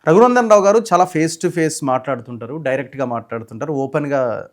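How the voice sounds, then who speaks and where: A man's voice: on the high side, lively intonation, fast talker. A man interviewing in a conversational, probing way. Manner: probing, interjects short acknowledgements. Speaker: journalist interviewer.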